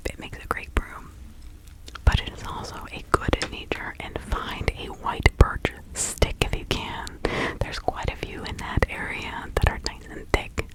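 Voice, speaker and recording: A woman playing a helpful nature witch in a whispered ASMR roleplay, giving instructions in a breathy, halting, conversational way. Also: lightly acted, rough recording. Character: practical, helpful nature witch advising a client in 1656.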